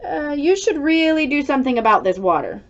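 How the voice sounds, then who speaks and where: Excitable storm alarm for a children's tale, played by a woman: raised pitch, urgent, over-the-top warnings. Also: a little fast, highs cut off. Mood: nervous warning. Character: excitable storm-gauge alarm calling out escalating danger warnings. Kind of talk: children's storybook read-aloud.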